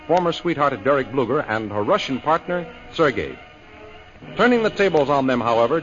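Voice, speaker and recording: A man's voice: on the high side, fairly bright tone. A man announcing and narrating a 1930s radio adventure serial in an emphatic, declamatory way. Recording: muffled 1930s radio broadcast.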